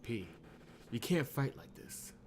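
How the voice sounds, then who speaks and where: A man voicing a concerned medic from a video game in an earnest, measured, admonishing way. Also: medium pitch, big pitch swings, slight background noise. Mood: grave concern. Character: concerned, cautious medic warning a stubborn fighter.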